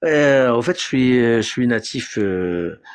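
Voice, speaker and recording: A man's voice: medium pitch, expressive, a little slow, clean tone, no room sound, studio quality. A man from Morocco, chatting hesitantly and respectfully with his teacher, using many fillers. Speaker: student. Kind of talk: casual chat.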